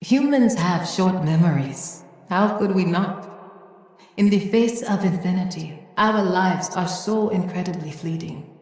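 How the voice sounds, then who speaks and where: A woman voicing a wise, reflective older guardian in a video game, with a measured, wistful and earnest manner. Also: fairly low pitch, a little mellow. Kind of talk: dramatic video game monologue. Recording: very echoey, long reverb tail.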